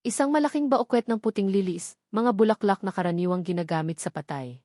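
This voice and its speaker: A woman's voice: mid-range voice, brisk, warm tone, no room sound, clean studio sound. A woman with a Filipino accent narrating a scripted dramatic romance story.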